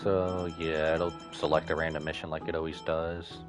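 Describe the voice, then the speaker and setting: A man's voice: extremely low pitch, flat delivery, moderate pace. A man livestreaming a game, casually thinking aloud as he comments. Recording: game music behind the voice. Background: game music.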